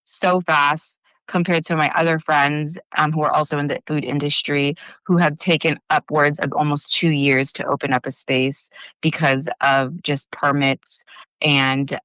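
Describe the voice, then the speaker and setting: A young woman chatting on an interview podcast, her voice bright and smooth against a dry, slightly dull recording. She talks casually, trails off, restarts, and fills her sentences with "like.". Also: deep voice, little pitch movement, a little slow, no room sound. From Philippines, raised in California. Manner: chatty, lots of fillers and restarts. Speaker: bakery founder, guest.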